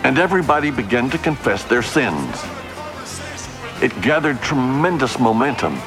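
A deep-voiced man holds forth with an authoritative, declaiming manner. His intonation swings widely up and down as he talks. Music plays underneath him. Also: big pitch swings, moderate pace. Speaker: group founder and leader. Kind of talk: archival recorded talk.

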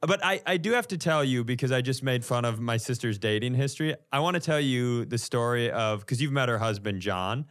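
A man's voice: medium pitch, a little fast, few pauses. A man telling stories in a casual, comic podcast style, acting out the lines he quotes as he goes. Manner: animated, acts out quoted lines. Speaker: comedy podcast co-host.

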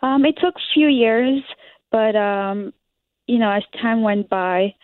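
A woman's voice: on the high side, slow pace, no echo. A young woman from Liberia with a Liberian English accent, answering interview questions hesitantly with many fillers and laughs. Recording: dry, phone-like sound.

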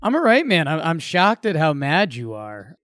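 A man's voice: fairly high pitch, big pitch swings, normal speed, a bit bright, no echo, clean studio sound. An American man from Connecticut chatting casually and easily about sports as a podcast co-host. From Connecticut, United States.

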